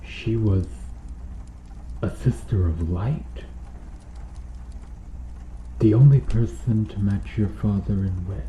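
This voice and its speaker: A man playing a stern medieval knight in an ASMR roleplay, questioning formally and earnestly with dramatic pauses. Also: on the low side, dark tone, reverberant, muffled recording, slight background noise. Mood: solemn, insistent. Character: stern medieval knight interrogating an accused noble witch.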